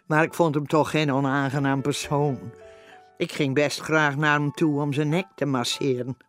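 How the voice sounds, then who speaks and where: A woman's voice: deep voice, fairly even intonation, moderate pace, soft background music, natural voice. A woman narrating a radio play as a mild, fond character looking back on events. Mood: reminiscing.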